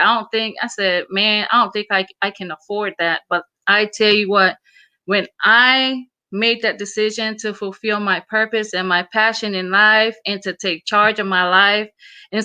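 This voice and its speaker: A woman's voice: medium pitch, pushed and bright. A woman from Samoa, whose first language is Samoan, speaking as an interview guest in an earnest, emphatic way, often repeating her words. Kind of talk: casual interview.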